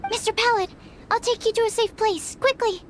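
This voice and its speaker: A woman's voice: very high voice, steady tone, fairly quick, slight background noise. A woman voicing a shy, earnest young female researcher in a video game, polite and hesitant, with music behind her. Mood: alarmed. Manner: hesitant, bashful, apologetic, polite. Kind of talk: video game character acting.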